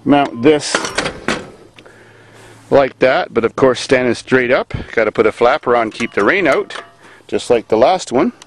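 A man giving a laughing, excitable running commentary from a handheld camera while driving a car on gravel farm roads and working on it outdoors. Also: mid-range voice, measured pace. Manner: excitable, laughing, crude joking. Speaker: farm vlogger. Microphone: handheld camera mic. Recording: loud engine and road noise. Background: roaring engine.

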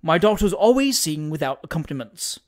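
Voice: medium pitch, big pitch swings, brisk, natural voice. A narrator voicing a haughty, easily offended society matron with a cutting, emphatic manner. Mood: haughty indignation.

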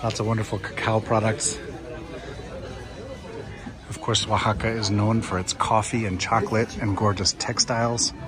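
A man narrating a relaxed walking tour of an outdoor market, speaking calmly and sparingly as a travel vlogger. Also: lowish voice. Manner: calm, unhurried, sparse commentary. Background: crowd chatter.

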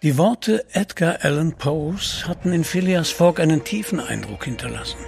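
Guy narrating a radio play, fairly high voice, measured storyteller delivery, soft music behind. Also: lively intonation, gravelly, husky. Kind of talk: scripted audio drama narration.

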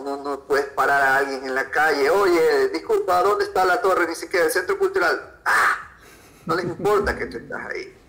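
A man's voice: a little high, pushed and bright, poor audio. A man with an Ecuadorian accent, answering conversationally as an interview guest. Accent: Ecuadorian Spanish. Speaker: podcast guest, freelance photographer.

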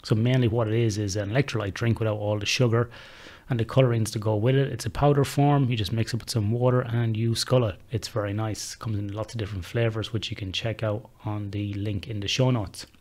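Man hosting, lowish voice. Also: steady tone, brisk, darkish tone. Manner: chatty, joking, restarts often. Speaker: podcast host. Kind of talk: casual interview chat. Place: home office. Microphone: headset mic, video call.

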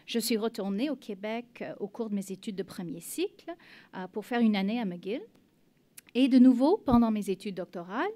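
A middle-aged woman from Western Canada, a native English speaker, giving a measured academic talk from notes in a meeting room, speaking into a table mic. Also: mid-range voice, dark tone. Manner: measured, reads from notes with frequent "euh" fillers. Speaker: professor on a conference panel. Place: meeting room with seated audience. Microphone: table mic on stand.

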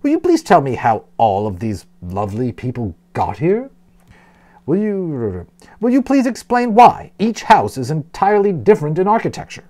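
Storybook professor, male reader in role: courteous, baffled, pleading, lively pitch movement. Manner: pleading, wordy, formal questioning. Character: bewildered professor, polite, eagerly curious newcomer. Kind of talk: storybook character voice in a read-aloud.

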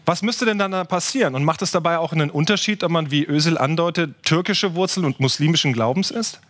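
Brisk news anchor posing questions. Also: male voice, a little high, fairly bright tone. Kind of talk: studio interview questions. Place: TV news studio.

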